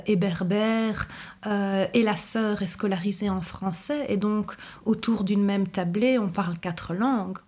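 A woman from Brussels, a university teacher speaking thoughtfully in an interview, pausing often with fillers. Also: mid-range voice, a little mellow. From Brussels, Belgium. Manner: thoughtful, hesitant, many pauses and fillers. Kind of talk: reflective interview testimony.

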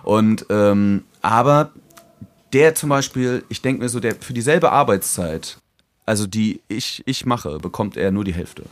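Low-voiced man, slangy and jokey. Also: expressive, unhurried. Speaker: podcast co-host, schoolteacher. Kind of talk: casual chat.

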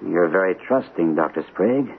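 Troubled army captain, tinny old radio. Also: male voice, low voice, animated, fairly quick, creaky, a little hiss or hum. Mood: uneasy. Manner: earnest, anxious. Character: troubled army captain recovering from shell shock. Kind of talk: vintage radio mystery acting and first-person narration.

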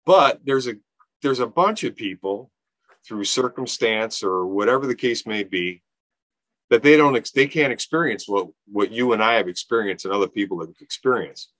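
A man's voice: lowish voice, lively intonation, normal speed, a bit bright, no echo, rough recording. A man in his fifties, an organization founder, talking earnestly in a casual interview, often restarting his sentences. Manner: earnest, rambling, many restarts and fillers. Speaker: nonprofit founder, podcast guest.

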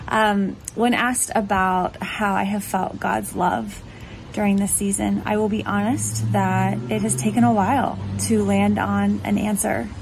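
Slow, measured woman telling a story. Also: mid-range voice, a little mellow, a little hiss or hum, low-quality recording. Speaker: church member giving video testimony. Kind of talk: prepared read testimony.

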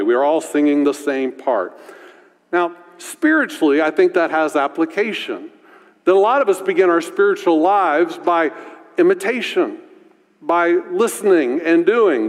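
Deep-voiced preacher guy, chatty sermon, keeps saying right?, long hall echo. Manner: conversational, asks "right?" often. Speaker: pastor.

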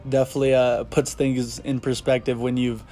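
A young man hosting his first podcast in casual chat, earnest and halting, with frequent ums and restarts, recorded in a bookstore. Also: mid-range voice, flat delivery, mellow, a little hiss or hum. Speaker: podcast host. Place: bookstore children's section.

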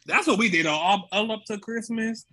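A young American man chats casually as a guest on a podcast. His voice sits high for a man and has a very bright, sharp edge, with an American accent. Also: normal speed. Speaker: podcast guest, voice cast member. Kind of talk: casual chat.

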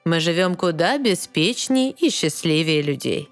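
A slow, wise old mermaid grandmother. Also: on the low side, animated, soft background music. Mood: firm advising. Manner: measured, explanatory. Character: wise old mermaid grandmother, calm, kindly, matter-of-fact. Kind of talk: verse audio fairy-tale acting.

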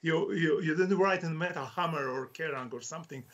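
A man in his forties from Croatia, with a Croatian accent and Croatian as his first language, talking haltingly as an author interviewed, with many fillers and restarts. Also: a little high, fairly bright tone, slight background noise. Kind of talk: interview guest.

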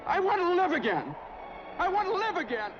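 A man's voice: very high voice, wildly swooping pitch, fairly quick, dull audio, full character voice. An actor playing a desperate man who begs to live again, heard in an old film clip with music behind him. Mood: pleading.

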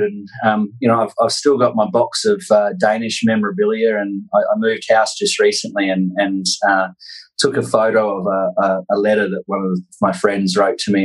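An Australian man in his forties telling casual personal anecdotes as an interview guest, in a halting, self-deprecating way. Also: fairly low pitch, husky, poor audio. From North Queensland, Australia. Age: 40s.

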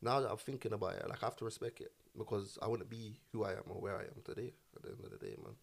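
Fast-talking, lowish-voiced man. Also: gravelly. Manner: slangy, checks listeners follow. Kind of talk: casual group conversation.

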